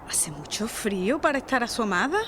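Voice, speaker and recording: Radio play mother: bewildered woman over sixty, high and animated, exclamatory. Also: normal speed, noisy background. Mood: baffled. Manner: exclamatory, puzzled. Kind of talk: radio drama dialogue.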